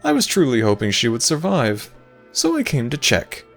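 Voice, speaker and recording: A man's voice: mid-range voice, wildly swooping pitch, moderate pace, vocal fry, soft background music. Man playing a smug god, wildly swooping voice. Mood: sly, smug. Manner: formal, sly, theatrical. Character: Osiris, smug, courtly male god. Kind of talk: ASMR audio roleplay acting.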